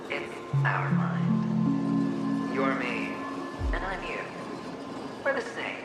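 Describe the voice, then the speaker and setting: Taunting, theatrical alter ego, swooping voice. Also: male voice, a little high, very animated, drawn-out words, heavily acted. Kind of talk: video game cutscene acting. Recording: heavy echo, loud music behind.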